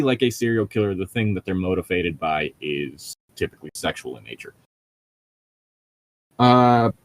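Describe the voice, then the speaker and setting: A low-pitched man talks casually among friends as a co-host. He rambles through his points with a sardonic, profane edge. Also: no echo. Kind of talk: casual group chat.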